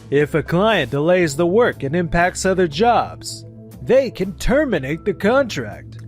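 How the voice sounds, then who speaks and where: A man voicing a stern, measured male superior in a comic dub, deliberate, pointed and reproving. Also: fairly high pitch. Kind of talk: scripted manga dub voice acting.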